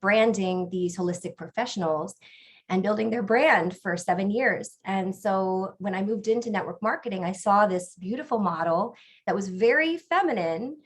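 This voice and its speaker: A middle-aged woman co-hosting an online presentation, explaining ideas in a measured, phrase-by-phrase way. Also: medium pitch, slightly rough. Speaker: co-host and business coach.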